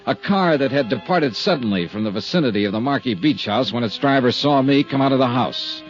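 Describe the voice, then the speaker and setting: A man plays a cool insurance investigator in a 1950s radio drama. His delivery is clipped, wry and persistent, with animated pitch movement. The vintage recording is muffled, with music in it. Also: a little fast, lightly acted. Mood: wry, businesslike. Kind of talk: 1950s radio detective drama acting with narration.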